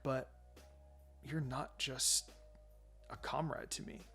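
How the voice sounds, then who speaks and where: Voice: medium pitch, steady tone, takes it slowly, sharp tone, soft background music. A narrator voicing a shy young female ninja who talks in a hesitant, rambling way.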